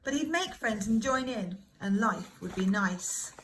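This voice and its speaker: A woman reading a children's story aloud in an expressive, dramatic way, like a primary school teacher. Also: mid-range voice, a little slow, background noise. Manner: animated, dramatic emphasis.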